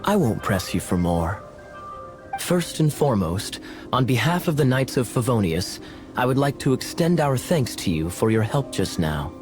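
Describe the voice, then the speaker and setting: A man's voice: medium pitch, sing-song, moderate pace, close to an everyday voice. A man playing a suave, courteous, self-assured knight in a fantasy video game, with formal, polished phrasing. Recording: game music under the voice. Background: music.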